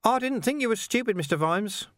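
Chirpy, high-voiced taunting criminal for an audiobook; male reader doing a sly, mocking crook, dry studio sound. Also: lively intonation, a little fast, fairly bright tone, no echo. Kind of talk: audiobook character voice.

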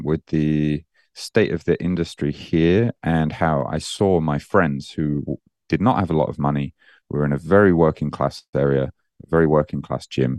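Brummie man, extremely deep voice, dry close sound, thoughtful guest. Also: darkish tone, no room sound. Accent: Birmingham English. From England. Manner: thoughtful, many fillers and restarts. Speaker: guest, nutrition and training coach. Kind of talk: casual podcast interview.

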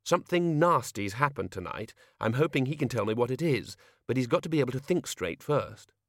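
A man's voice: mid-range voice, fairly quick, no echo, studio quality, pitched above the performer's own voice. Susan, a man doing a cool terse young woman. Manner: calm, clipped, matter-of-fact.

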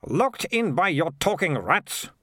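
Brief: firm small-town mayor, put on by a male narrator; cutting and lively. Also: on the high side, unhurried, dry recording, studio quality, pitched above the performer's own voice, exaggerated voice. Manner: flustered, then firm and cutting. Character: bewildered middle-aged male town mayor.

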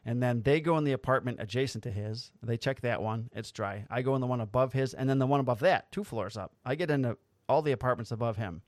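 A man's voice: mid-range voice, quick pace, hardly any pauses, no echo. A man with a mid-range voice recounting a shift in casual, profane detail. He is blunt and wry, and the sound is dry and close, with no room behind it. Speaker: police officer and podcast host. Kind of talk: casual storytelling.

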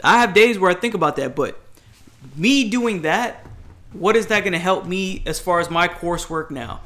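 A man's voice: high-pitched, normal speed, fairly bright tone. A man, giving blunt advice in a casual chat, emphatic and rambling with restarts. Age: 20s.

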